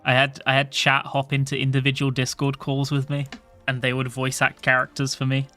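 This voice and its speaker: A man streaming a game, chatting casually to his viewers in a soft voice, rambling and swearing offhandedly. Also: medium pitch, completely flat delivery, fairly quick, soft background music. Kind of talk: casual livestream chat.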